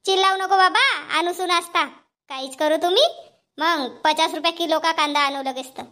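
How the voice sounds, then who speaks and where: A woman's voice: very high-pitched, steady tone, moderate pace, pushed and bright. A woman voicing a complaining village housewife in a cartoon comedy, chattering and scolding with a Powari accent. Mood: exasperated, nagging.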